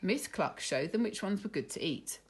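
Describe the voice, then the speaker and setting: Animated, husky woman storyteller. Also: mid-range voice. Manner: animated, deliberate. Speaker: school teacher reading a story. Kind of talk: expressive children's storytelling.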